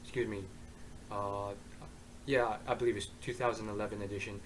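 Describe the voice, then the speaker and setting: A hoarse young man reviewing an anime to his webcam in his bedroom, rambling with many ums and uhs. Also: lowish voice, flat delivery, moderate pace, slight background noise, rough recording. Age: young adult. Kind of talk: casual vlog review. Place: bedroom, indoors. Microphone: webcam at desk.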